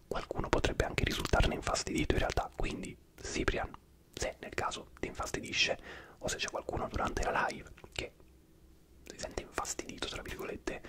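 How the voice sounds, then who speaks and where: A man whispering throughout as he hosts an ASMR live stream, chatting and rambling to viewers by name.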